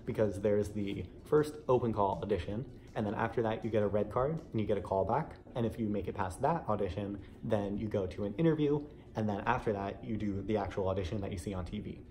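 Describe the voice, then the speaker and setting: A man's voice: on the low side, fairly quick, hardly any pauses, a little mellow. A young American man telling a story casually to camera in a chatty, conversational way. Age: young adult. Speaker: vlogger sharing an audition experience.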